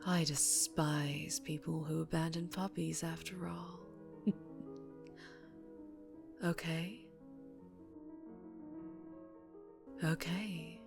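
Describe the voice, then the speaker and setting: A woman acts out a female crime boss for an ASMR roleplay, her voice low and very measured over background music. She is dangerous and charming, speaking intimately close, sometimes slipping toward a whisper. Her affection is condescending, with cold authority underneath. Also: fairly even intonation, vocal fry, full character voice. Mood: tender, protective. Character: dangerously charming, ruthless yet tender female mafia boss. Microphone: close-up microphone.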